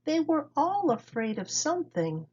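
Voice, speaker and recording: Lady playing a caring mama turtle in a kids book, soothing, slow, slightly dull audio. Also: high-pitched, big pitch swings, a little mellow, voice pushed up. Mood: caring, reassuring. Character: gentle, caring mother turtle. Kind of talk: children's storybook read-aloud. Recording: dull lo-fi recording.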